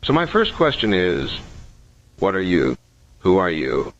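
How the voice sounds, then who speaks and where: A man playing a methodical, sceptical investigator who records case notes in a measured, analytical way, as scripted analog horror narration. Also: sits low, wildly swooping pitch, exaggerated voice. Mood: analytical, wary. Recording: dull lo-fi sound, faint noise.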